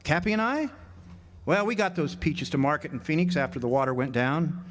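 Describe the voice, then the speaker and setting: A man's voice: fairly high pitch, expressive, brisk, natural voice. A man playing a wry drifter in a radio drama, telling his tale in a folksy way. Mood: wistful, wry. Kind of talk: radio drama narration and dialogue. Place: live amateur radio drama performance at an amphitheater.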